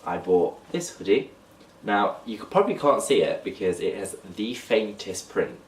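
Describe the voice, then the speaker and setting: A young man talks casually to camera, his blocked nose giving his low voice a nasal edge. He sits back from the camera mic in an echoey room. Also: animated, moderate pace, distant mic. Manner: chatty, talks to camera while holding up clothes. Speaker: fashion vlogger. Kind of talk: casual haul vlog.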